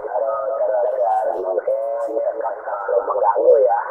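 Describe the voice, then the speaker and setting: An Indonesian man, a radio collector, chatting haltingly and repeating phrases over a treble-heavy CB radio link with no bass. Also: little pitch movement, gravelly, phone-quality sound, over music. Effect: CB radio transmission. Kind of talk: casual two-way radio chat.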